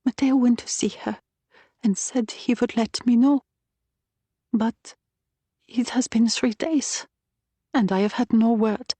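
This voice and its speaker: A woman's voice: on the high side, fairly even intonation, slow pace, pauses often, mellow, breathy edge, dry recording, pitched above the performer's own voice. A woman voicing an anxious, pleading young woman, a wartime resistance courier in an audiobook, with a pinched, urgent delivery. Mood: anxious, grim.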